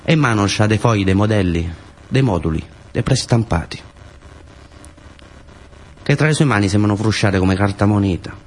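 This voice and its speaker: A man's voice: lowish voice, moderate pace, pauses often. A man with a southern Italian, Pugliese accent performing a colloquial, first-person dramatic monologue for radio theatre, in short repeated phrases.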